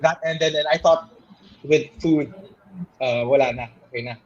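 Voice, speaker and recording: Casual interview guest: a young man, a bit high-pitched, easygoing and loose, recorded muffled. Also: normal speed. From Philippines. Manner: switches between English and Tagalog in loose, rambling sentences.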